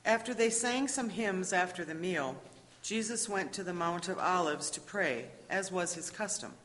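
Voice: mid-range voice, expressive, moderate pace, a bit bright. A narrator reading scripture as a dramatic narration. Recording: echoey church hall, long reverb.

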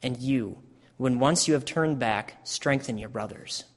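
A man playing a solemn religious teacher in a dramatic scripture reading, speaking in a measured, declamatory way with long hall reverb. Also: mid-range voice, close to an everyday voice. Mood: earnest, solemn. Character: Jesus, solemn adult male teacher at his last supper.

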